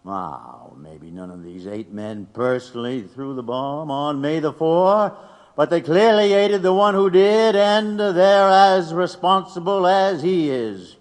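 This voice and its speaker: A man acts out an insinuating 1880s prosecutor in a radio-style courtroom drama. His slightly raised male voice moves slowly and deliberately through theatrical oratory, with long reverb around it. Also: a little high. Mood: grave, accusing. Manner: deliberate, leading. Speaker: actor in an audio drama.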